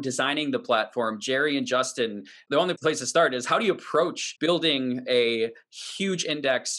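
A man's voice: mid-range voice, pushed and bright. A man hosting and asking questions in a conversational podcast interview. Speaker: interviewer, host.

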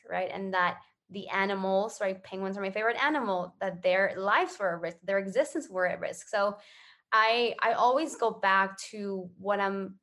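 A woman's voice: medium pitch, a bit bright. A woman from Ecuador giving casual, earnest interview answers as a social entrepreneur, often checking in with "right?". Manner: earnest, conversational, often tagging phrases with "right?".

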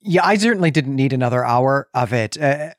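A man's voice: medium pitch, animated, brisk, no room sound, studio quality. A man co-hosting a podcast, talking about film in a conversational, analytical way. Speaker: podcast co-host. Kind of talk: casual film discussion.

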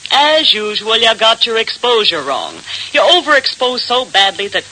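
A caustic, gloomy female accomplice in a vintage radio crime drama. Her delivery is dry, cutting and unimpressed, with a very bright, hard edge over a faintly noisy old recording. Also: on the high side, very animated, moderate pace. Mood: scornful. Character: caustic, morose woman accomplice of a crook.

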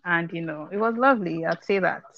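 Young woman, from Nigeria, low pitch, hesitant, muffled audio. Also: fairly quick, vocal fry, a loud crowd. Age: young adult. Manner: hesitant, many ums and restarts. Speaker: podcast guest. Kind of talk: casual chat. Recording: dull lo-fi audio.

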